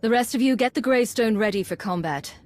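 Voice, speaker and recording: A woman playing a decisive, wary military commander in a video game, measured and authoritative. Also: fairly high pitch, a little mellow, lightly acted. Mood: resolute, wary. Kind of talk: video game cutscene acting.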